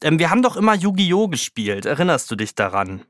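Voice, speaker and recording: A man's voice: fairly high pitch, very animated, brisk, no echo, studio quality. A young man in casual conversation, talking in a hesitant, roundabout way. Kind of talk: casual chat.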